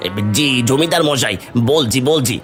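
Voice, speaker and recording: A man's voice: medium pitch, expressive, normal speed, soft background music, some chatter behind, close to an everyday voice. Casting: male actor for landlord's dutiful steward and village crier, cartoon story, declamatory, musical backing. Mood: deferential. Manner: deferential, declamatory. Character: obliging, deferential landlord's steward and village announcer. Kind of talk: Bengali cartoon story acting.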